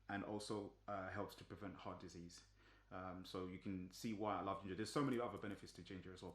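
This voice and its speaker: Low-voiced man talking in an echoey room. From Zimbabwe. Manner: relaxed, many ums and restarts. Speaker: vlogger. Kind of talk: casual explanatory talk.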